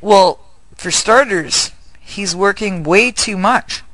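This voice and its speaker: Giggly high-voiced man, slow and bright. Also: dry recording, rough recording. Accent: Northern US. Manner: giggly, laughs often. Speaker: interviewer. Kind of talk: casual interview chat.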